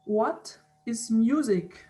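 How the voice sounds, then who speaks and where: A woman interviewer on a video call who speaks haltingly, repeating words and laughing often. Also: a little high, animated, warm tone, soft background music. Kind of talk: casual conversation.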